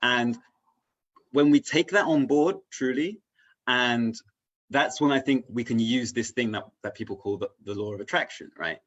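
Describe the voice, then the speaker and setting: A man talking casually and reflectively as a guest, haltingly searching for his words and often checking in with "right?". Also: medium pitch, a bit bright, highs cut off. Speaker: interview guest on spirituality.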